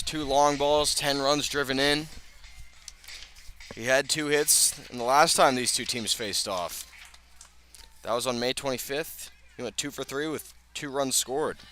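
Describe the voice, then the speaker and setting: A man's voice: medium pitch, bright, forceful tone. A man calling a baseball game live on the radio as the play-by-play announcer. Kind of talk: live sports commentary. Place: outdoor ballpark broadcast position.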